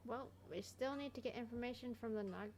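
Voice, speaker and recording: A woman's voice: fairly high pitch, brisk, darkish tone. A woman streamer giving casual, off-the-cuff reactions as she plays a game. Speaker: gaming streamer. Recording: very noisy capture, game audio and clicks.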